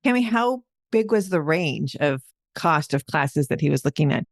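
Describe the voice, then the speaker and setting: A woman's voice: deep voice, expressive, normal speed, no room sound, clean studio sound. Upbeat woman podcast co-host. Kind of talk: scripted segment reading and casual chat.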